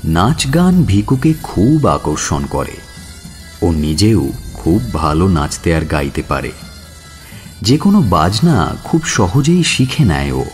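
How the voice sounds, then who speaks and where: A man narrating an audio story in a measured, expressive and dramatic storytelling style. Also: sits low, faint music behind. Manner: measured, expressive, drawn-out phrasing.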